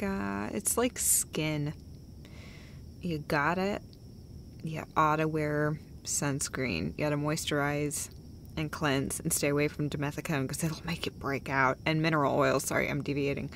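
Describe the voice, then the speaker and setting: A woman plays a gentle, caring girlfriend in an intimate audio roleplay. She speaks slowly and hesitantly, soft and breathy with gravelly fry, often dropping toward a whisper. There is clear background noise behind her. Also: lowish voice. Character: caring, gentle, casually sweary girlfriend comforting her partner.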